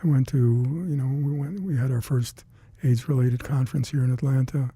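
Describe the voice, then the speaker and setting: An older man with Hungarian as his first language, recalling past work in an oral history interview, halting and reflective with many fillers and restarts. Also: mid-range voice, fairly even intonation, warm tone, slightly rough. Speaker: interviewee, retired scientist.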